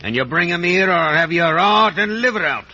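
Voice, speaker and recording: Wretched runaway convict, menacing, sharp-edged voice, dull noisy old radio sound. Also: male voice, high voice, lively intonation, fairly quick, heavily acted. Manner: threatening, rough dialect speech. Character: menacing, wretched escaped convict, adult man. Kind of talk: 1950s radio drama acting. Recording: muffled, noisy vintage radio recording.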